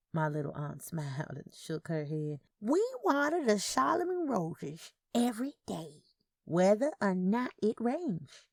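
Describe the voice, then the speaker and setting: A woman narrates a short story in the first person. Her voice sits fairly low with a creaky edge, and her intonation moves widely. The recording is close and dry. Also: warm tone, no room sound. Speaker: narrator reading short fiction. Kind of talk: first-person literary audio fiction narration.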